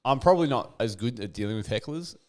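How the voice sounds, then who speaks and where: A man's voice: mid-range voice, quick pace. A man who does stand-up comedy, chatting casually and telling stories with plenty of swearing. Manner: relaxed storytelling, swears a lot. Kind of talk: casual chat.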